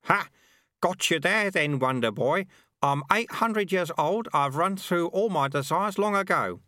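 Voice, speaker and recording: A man voicing an ancient male monk sweeper who is fawning and sly, in a higher voice than his own. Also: lively intonation, sharp tone, no echo, clean studio sound. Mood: dry, knowing. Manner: obsequious, fawning, brisk. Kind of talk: audiobook character voice.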